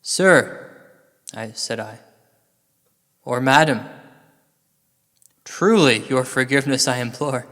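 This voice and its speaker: A man telling a comedic ghost story as a storyteller, deadpan and hesitant, with mock-gothic dramatic pauses. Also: mid-range voice. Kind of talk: slow comedic ghost-story narration, part verse recital. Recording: long hall reverb over music. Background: music.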